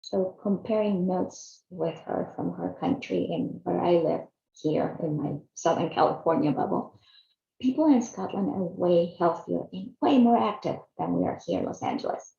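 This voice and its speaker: A woman's voice: on the low side, sing-song, moderate pace, creaky, mellow. A woman in her fifties from Chile, earnestly narrating a personal video series she hosts. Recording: echoey, slightly dull room sound.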